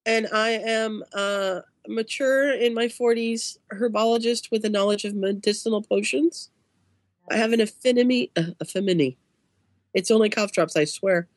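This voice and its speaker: A woman in her forties playing a tabletop role-play game, calmly reading out her character notes. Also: mid-range voice, moderate pace, no echo. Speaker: player. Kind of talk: casual podcast chat.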